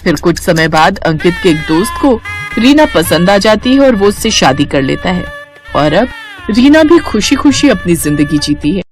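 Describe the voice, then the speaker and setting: A woman's voice: fairly low pitch, sing-song, normal speed, faint music behind. A woman narrating a scripted story as its storyteller.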